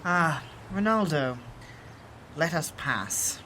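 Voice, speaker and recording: A woman voicing a calm, resolute exiled shifter who speaks formally and avoids contractions. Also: sits low, takes it slowly, slight background noise, exaggerated voice. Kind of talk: audio drama acting.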